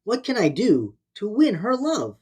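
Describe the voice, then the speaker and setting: A woman's voice: medium pitch, wildly swooping pitch, a little slow, a little mellow, breathy edge, heavily acted. A woman voicing a brave, lovesick male sea creature in a children's storybook, earnest and dramatic. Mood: lovesick, pleading.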